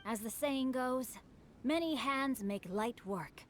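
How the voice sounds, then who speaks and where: A woman playing a refined young aristocrat in a video game, with genteel, eloquent and gently teasing formal speech. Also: sits high, slow pace, soft background music. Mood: smoothly teasing. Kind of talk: video game cutscene acting.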